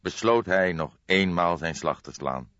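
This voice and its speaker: Low-voiced man narrating a crime story. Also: expressive, a little slow, fairly bright tone, dry recording, dull audio. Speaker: audiobook narrator.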